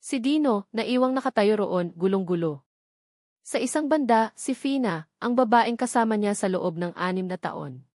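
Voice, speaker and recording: A woman with a Filipino accent narrates scripted fiction with a storyteller's drama. Her pitch sits in the middle range for a woman. The recording is dry, with almost no room sound. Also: animated, moderate pace, darkish tone, dry recording. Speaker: audiobook narrator.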